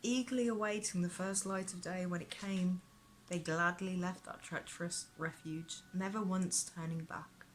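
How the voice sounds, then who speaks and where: A woman author reading her own fantasy novel aloud as expressive audiobook narration. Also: on the low side, moderate pace, a little hiss or hum, rough recording. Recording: prominent music behind the narration. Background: music.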